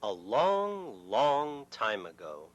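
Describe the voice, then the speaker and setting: A man's voice: mid-range voice, sing-song, slow pace. A man narrating a fairy tale as a storyteller, in a dull, muffled recording.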